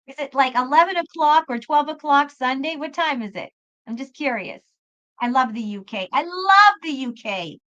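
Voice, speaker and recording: A woman with a high-pitched voice reads tarot for a live audience online. She talks casually and emphatically, circling back over her phrases. The sound is somewhat dull and lo-fi. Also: lively intonation, normal speed, fairly bright tone. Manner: emphatic, chatty, repeats phrases. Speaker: host and tarot reader. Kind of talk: live spiritual tarot reading, casual chat with viewers. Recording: dull lo-fi live stream audio.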